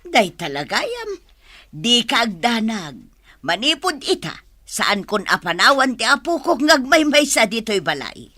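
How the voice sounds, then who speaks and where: A woman's voice: on the high side, big pitch swings, measured pace, bright, forceful tone, no room sound, heavily acted. A woman playing a wily, dismissive, hard-living grandmother in an audio drama, with theatrical delivery. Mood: grasping, placating. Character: wily grandmother who drinks, gambles and neglects her grandchild.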